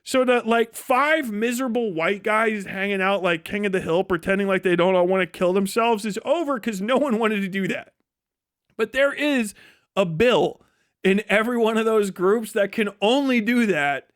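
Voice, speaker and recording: A man ranting casually on a livestream as its host, profane and sarcastic, acting out imagined dialogue in an animated way. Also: high-pitched, moderate pace.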